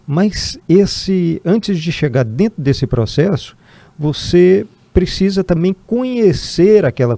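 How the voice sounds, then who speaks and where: A man hosts an interview, posing long, formal questions and answering with short murmurs of assent. His voice is warm and mellow. The sound is close and dry, with no room around it. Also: a little high, vocal fry, no room sound. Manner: brief affirming murmurs, longer formal questions. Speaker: interviewer, host.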